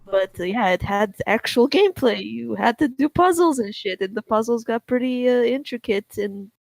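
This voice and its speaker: A woman's voice: a little high, lively intonation, normal speed, gravelly, no echo. Casual woman with a dry, close sound. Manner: informal, mildly sweary. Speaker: podcast co-host. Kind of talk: casual chat about video games.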